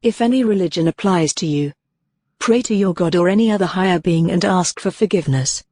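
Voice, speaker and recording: A man's voice: sits high, darkish tone, no echo. Man as a solemn, dry-sounding emergency announcer. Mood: solemn, formal. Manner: formal, measured, long pauses. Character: solemn emergency broadcast announcer calmly delivering doom. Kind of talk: scripted emergency broadcast announcement.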